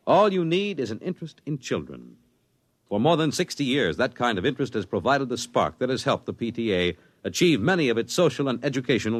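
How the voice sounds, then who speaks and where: A male radio announcer with a middle-pitched, lively voice in a dry studio sound. His scripted delivery is formal, measured and emphatic, in 1950s broadcast style. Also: no room sound.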